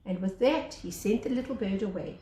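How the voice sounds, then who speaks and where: A woman reading a children's story aloud as a teacher, with expressive narration. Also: mid-range voice, brisk. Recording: echoey room.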